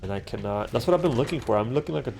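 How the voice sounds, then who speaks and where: A man's voice: mid-range voice, lively intonation, rapid-fire, vocal fry, darkish tone, a little hiss or hum. A young man with a stuffy, congested nose, casually commentating a solo game session, rambling and swearing with lots of fillers and restarts. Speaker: gaming commentator. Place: home room.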